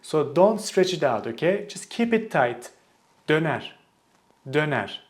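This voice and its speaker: Turkish guy teaching pronunciation, slightly high voice, slow and careful on the words. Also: sing-song. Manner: clear, deliberate, slows to model pronunciation. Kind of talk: language lesson to camera.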